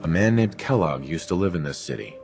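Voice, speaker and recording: A man's voice: low voice, animated, brisk, music in the background. An actor playing an earnest, determined male wasteland survivor in a video game.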